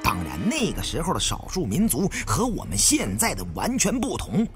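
Man as a pedantic, highly animated scholarly adviser. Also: moderate pace, over music. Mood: explanatory. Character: long-winded, bookish male adviser explaining ancient lore. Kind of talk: audiobook character acting.

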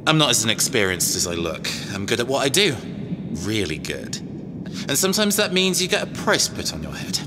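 A man's voice: mid-range voice, big pitch swings, brisk, in a large hall, over music. A man playing a cagey, self-assured robot engineer mercenary in an audio drama, wry, conversational and hesitant. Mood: reluctant, confessing.

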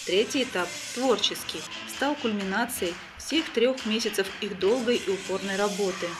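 Woman doing a tv news voiceover, scripted report, medium pitch. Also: moderate pace, music in the background.

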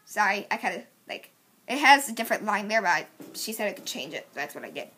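A woman's voice: mid-range voice, sing-song, fast, sharp tone, husky. A woman chatting casually as she introduces her amateur voice audition lines. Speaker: amateur voice actor.

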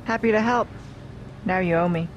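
A woman's voice: on the low side, moderate pace, dull audio, natural voice. Cool female spy for an action game , actress, clipped and teasing, noisy background. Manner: composed, coy, clipped. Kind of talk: cinematic video game acting.

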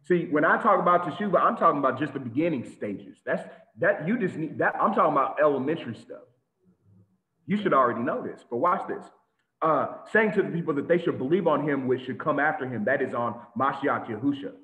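A man preaching an animated sermon to a congregation over a video call, with lots of repetition and sudden shouts. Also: fairly high pitch, a little fast. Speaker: teacher of a congregation. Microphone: video call webcam. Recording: tinny phone-like echoey audio.

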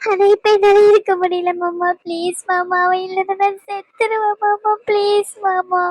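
A woman's voice: very high-pitched, flat delivery, normal speed, soft background music. A woman acting as a young woman in hospital, crying and pleading with her uncle, tearful and stubborn.

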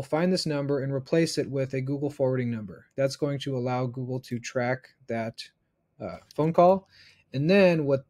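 A man in his thirties giving a casual software tutorial, informally thinking aloud as he goes step by step. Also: medium pitch. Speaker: instructor.

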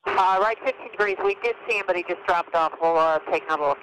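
A woman flying an airliner, giving quick, matter-of-fact readbacks over the radio. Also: lowish voice, fairly bright tone. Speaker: airline pilot. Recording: noisy radio transmission.

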